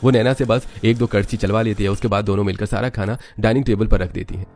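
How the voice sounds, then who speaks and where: A man's voice: fairly low pitch, fairly even intonation, fast, a little mellow. A man narrating a scripted family story as a third-person storyteller.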